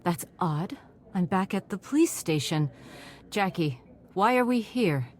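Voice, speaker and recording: A woman playing a determined, earnest investigator in a video game, thinking aloud in a measured way. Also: on the low side, animated, background noise. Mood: puzzled, resolute. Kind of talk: dramatic video game narration.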